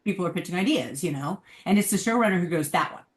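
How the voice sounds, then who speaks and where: A woman's voice: lowish voice, lively intonation, quick pace. A woman talking casually as a guest in a podcast discussion, recorded with a roomy echo. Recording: roomy, echoing pickup.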